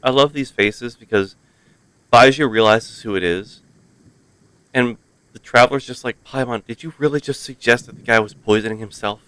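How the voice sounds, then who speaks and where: A man's voice: medium pitch, moderate pace, a bit bright, clean tone, no echo, poor audio. A man streaming a video game, giving casual commentary with playful asides.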